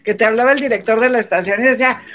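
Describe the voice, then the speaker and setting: A woman's voice: fairly high pitch, very fast. A woman radio broadcaster in a casual panel chat, telling stories and acting out quoted remarks. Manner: animated storyteller, acts out quoted remarks.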